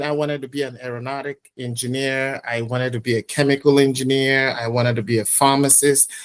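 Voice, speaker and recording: A middle-aged man from Nigeria, a podcast guest telling his career story in a reflective, measured way with many fillers. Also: medium pitch, fairly bright tone. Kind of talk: conversational interview storytelling.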